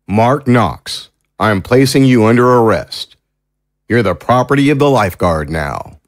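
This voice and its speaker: An actor playing a cold, stern and smug arresting officer in an audio drama, terse and deadpan. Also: male voice, mid-range voice, a little slow, no echo, clean studio sound, natural voice. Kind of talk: dramatic audio fiction acting.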